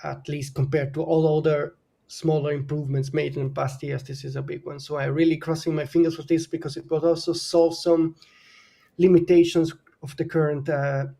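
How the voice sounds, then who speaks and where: Soft-toned German-accented man explaining sensors. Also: on the high side, level tone, vocal fry. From northern Italy. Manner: halting, many fillers, technical. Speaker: guest camera expert. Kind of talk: live stream panel talk.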